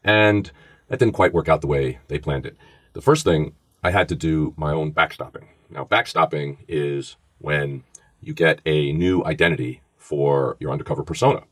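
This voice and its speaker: A middle-aged man with a Bronx New York accent, telling his story in a casual, animated podcast conversation. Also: extremely low pitch, normal speed, husky, no echo. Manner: animated storyteller, acts out quoted voices. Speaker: former FBI agent, podcast co-host.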